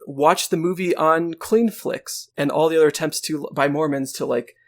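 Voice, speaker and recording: Slightly creaky, high-pitched man chatting. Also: moderate pace. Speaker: podcast co-host. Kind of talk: casual chat, joking film commentary.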